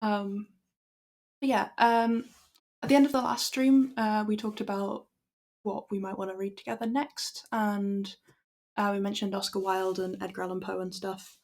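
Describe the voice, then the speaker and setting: A young Irish woman with a croaky voice, chatting casually to her stream and then reading a classic story aloud in a steady, measured way. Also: mid-range voice. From Ireland. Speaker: livestream book reader.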